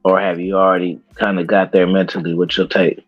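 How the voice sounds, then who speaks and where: A man hosting a casual interview, relaxed and slangy, stumbling over restarts, on dull, dry lo-fi audio. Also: sits low, fairly quick, breathy edge, dry recording, soft background music. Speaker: interview host.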